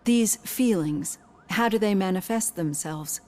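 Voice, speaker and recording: A woman playing a composed, gently probing psychologist in a video game, speaking in a measured, clinical way. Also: medium pitch, natural voice.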